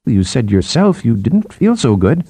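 Man as a probing, mellow psychologist. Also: mid-range voice, sing-song, unhurried, slightly rough, no room sound, pitched below the performer's own voice, heavily acted. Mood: measured, prodding. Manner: questioning, prodding. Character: probing, level-headed male psychologist, brisk and practical.

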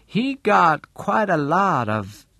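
A man voicing an earnest, shrewd woman who works in real estate, speaking in a halting, deliberate way in an audiobook. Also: sing-song, vocal fry, no echo, clean studio sound, heavily acted. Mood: wary, frank. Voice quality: low, mellow.

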